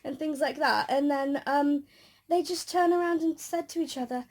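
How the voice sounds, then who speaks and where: A woman vlogger chatting casually and retelling an outing in a giggly, animated manner. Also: high-pitched, normal speed. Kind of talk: casual chat, recounting an outing.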